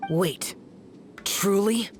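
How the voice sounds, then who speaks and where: An actor playing an aloof, youthful immortal demon slayer in a video game, speaking in a curt, solemn, formal way. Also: male voice, sits high, drawn-out words. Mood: stern, disdainful. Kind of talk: video game character acting. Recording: game music behind the voice.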